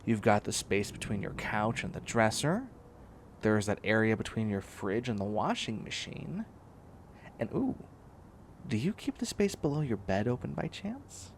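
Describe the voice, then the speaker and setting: A man's voice: on the low side, lively intonation, measured pace, creaky, a little hiss or hum. A man playing a bossy, clingy young catboy in a comedic roleplay audio drama, whining and demanding in a needy way. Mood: content, defensive.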